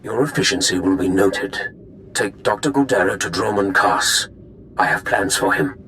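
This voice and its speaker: An actor playing a vengeful, menacing Sith lord in a video game, with a gravelly, commanding, threatening delivery. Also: male voice, fairly low pitch, moderate pace, sharp tone, a little hiss or hum. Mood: cold, suspicious.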